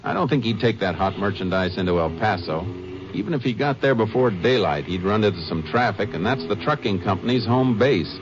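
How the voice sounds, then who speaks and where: A man plays a steady Texas Ranger who questions suspects in a calm, firm, matter-of-fact way. His voice sits low, and the recording is muffled old radio with a faint hiss. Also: brisk, natural voice. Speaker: Texas Ranger lead and narrator. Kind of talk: 1950s radio drama acting and narration.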